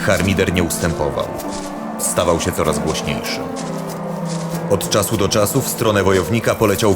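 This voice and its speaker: A man with a low voice narrates a fantasy audio drama. He reads scripted storytelling prose, with very loud music running behind him.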